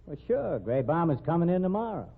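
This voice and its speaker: A man's voice: fairly high pitch, big pitch swings, a little fast, vocal fry, full character voice. An actor playing a cocky young male rodeo cowboy in a 1940s radio drama, with an easy, confident drawl. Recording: tinny old radio broadcast.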